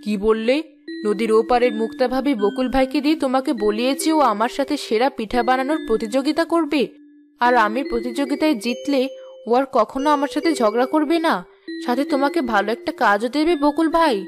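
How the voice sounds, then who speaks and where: High-voiced, proud, complaining village wife played by a woman. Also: a little fast, music in the background, lightly acted. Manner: complaining, sarcastic. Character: poor, proud, nagging village wife, wary and resentful. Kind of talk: cartoon character dialogue.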